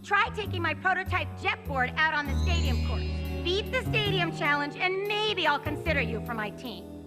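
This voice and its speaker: A woman's voice: very high-pitched, animated, moderate pace, over loud music. A woman voicing a guarded young mechanic who runs a racing team in a video game, dismissive and sardonic. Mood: challenging, sardonic.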